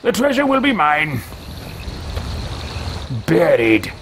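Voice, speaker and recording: A man's voice: a little high, wildly swooping pitch, slow, fairly bright tone, slight background noise, exaggerated voice. A man voicing a formal male mage adventurer who mutters to himself in stately phrases while roleplaying a game. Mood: pleased.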